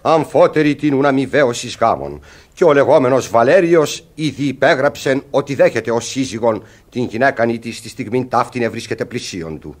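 An actor playing a pedantic police commissioner in a radio comedy, speaking with pompous, archaic legal formality. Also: male voice, medium pitch, lively intonation, natural voice. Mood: officious. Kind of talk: theatrical radio comedy acting.

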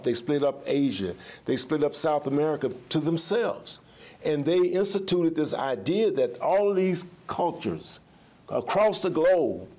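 A man's voice: medium pitch, a little mellow. Emphatic older man lecturing on a panel. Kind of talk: panel discussion. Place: studio stage with audience. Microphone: lapel mic.

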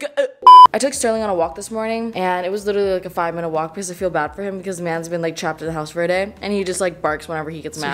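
A woman's voice: lowish voice, a little hiss or hum. A 19-year-old woman from Canada with a North American accent, chatting casually to a handheld camera in a slangy, candid, self-deprecating way. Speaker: vlogger, high school student. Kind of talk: casual chatty daily vlog. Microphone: handheld camera at arm's length.

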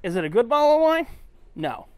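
A man's voice: very high-pitched, sing-song, fairly quick, darkish tone, clear, resonant tone. A tipsy man vlogging aboard a cruise ship, talking bluntly with plenty of swearing and sometimes dropping to a mutter. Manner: blunt, swearing, ranting, drops to a mutter at times. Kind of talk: casual walk-and-talk vlog.

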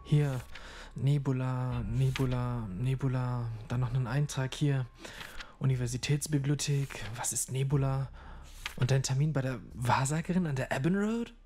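A man's voice: mid-range voice, moderate pace, darkish tone, close to an everyday voice. A man acting a flippant young student in an audio drama, talking in a wry, colloquial way. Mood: curious. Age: young adult. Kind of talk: naturalistic audio drama dialogue.

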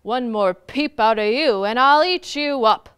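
Lady doing a big mad bear for a kids story, growly n loud, real bossy, swoopy. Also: medium pitch, expressive, a little slow, clean tone, pitched below the performer's own voice. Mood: angry. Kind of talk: children's storytelling.

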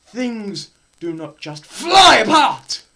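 A young man gives a theatrical lecture reading in a slow, deliberate pace. His pitch leaps around widely and his delivery is melodramatic, moving between hushed murmurs and loud cries. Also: big pitch swings. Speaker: presenter performing a dramatised physics lecture. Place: small plain room indoors.

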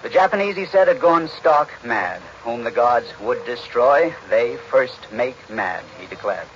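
An American man giving a measured, formal 1940s radio news report as an analyst. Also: mid-range voice, animated. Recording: muffled, noisy 1940s radio recording.

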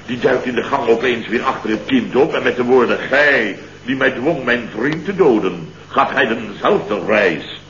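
A man's voice: mid-range voice, animated, normal speed, a bit bright. An actor playing a blustering middle-aged father in a late-sixties Dutch radio comedy, telling stories with theatrical indignation and mimicked quotes. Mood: indignant. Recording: dull, roomy, noisy old radio recording.

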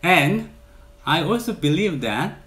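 A man in his forties from South Korea with a Korean accent, speaking earnestly and in measured phrases to camera as he introduces his channel as a realtor, in a bright indoor room. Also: fairly high pitch, big pitch swings. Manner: earnest, measured, with stressed lifts on key words.

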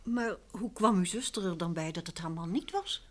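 An actress in an old Dutch radio play, playing a curious, observant wife who chides affectionately and talks in a chatty way. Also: female voice, lowish voice, moderate pace, close to an everyday voice. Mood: polite, inquisitive.

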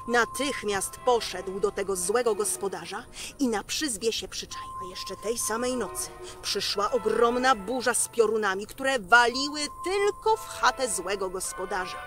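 A woman in her thirties telling a children's fairy tale expressively, as a storyteller. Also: on the high side. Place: outdoors among trees. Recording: music behind.

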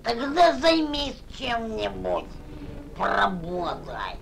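A woman playing a fussy, nagging mother toad in an animated fairy tale. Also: a little high, slow pace, gravelly, a little hiss or hum, heavily acted. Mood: fussy, coaxing. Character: bossy, doting middle-aged mother toad. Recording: old cartoon soundtrack with music.

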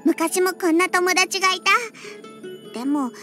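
A woman's voice: very high-pitched, unhurried, pushed and bright, heavily acted. A woman voicing a feisty little catgirl in an anime game, with a childlike, cutesy voice and a huffy, pouty manner. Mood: affectionate, shy. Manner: pouty, huffy, with cat-like verbal tics. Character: feisty little catgirl bartender who hates alcohol. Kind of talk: Japanese anime game character acting. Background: background music.

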